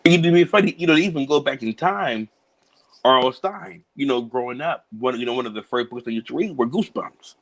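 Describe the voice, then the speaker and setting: A man in his thirties from Cincinnati, a children's book author answering interview questions in a relaxed way, laughing easily and filling pauses with "um" and "you know". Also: medium pitch. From Cincinnati, USA.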